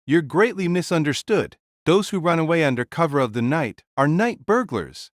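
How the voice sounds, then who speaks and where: Man playing a huge male warrior spirit, audio drama, imperious, unflustered, animated delivery, dry sound. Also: moderate pace, no echo, close to an everyday voice. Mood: unbothered, proud. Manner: imperious, booming, declarative.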